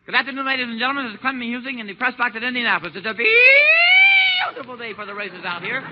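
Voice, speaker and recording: A man playing an excitable, muddled sports announcer in a 1940s radio comedy sketch, giving breathless, repetitive mock race commentary. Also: very high voice, fast, full character voice. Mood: brisk, upbeat. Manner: breathless, repetitive, overexcited play-by-play. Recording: noisy, dull 1940s radio broadcast recording.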